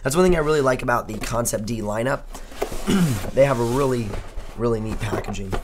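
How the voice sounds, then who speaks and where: Hoarse young male tech reviewer, mid-range voice, chatty and upbeat while unboxing. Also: mid-range voice, a little fast, noisy background. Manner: chatty, upbeat, thinks aloud while handling gear. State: hoarse, losing his voice. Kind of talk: casual unboxing talk.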